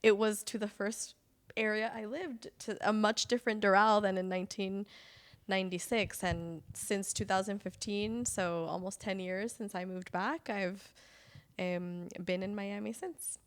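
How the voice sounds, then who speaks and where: A woman in her thirties from South Florida, speaking as a guest on a radio show. Her voice sits in a middle register and she recounts things in a reflective, measured way. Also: medium pitch, steady tone. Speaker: guest, journalist. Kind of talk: casual interview conversation.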